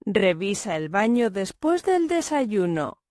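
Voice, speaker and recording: A housemate character, voiced by a woman, speaks slowly and clearly. Her voice moves up and down a lot. The recording is dry. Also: mid-range voice, very animated, darkish tone, no room sound. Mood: friendly. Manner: slow, clear, carefully enunciated. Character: Clara, Alice's housemate. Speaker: learner dialogue character. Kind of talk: slow scripted language-lesson dialogue.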